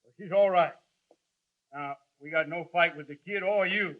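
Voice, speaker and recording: A blustering frontier outlaw, acted by a man, pleads and bargains in a folksy, jittery manner. His voice sits a little high, and the 1950s radio recording sounds dull and muffled. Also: normal speed, natural voice. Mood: wheedling. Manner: wheedling, nervous, folksy drawl. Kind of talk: 1950s radio drama acting.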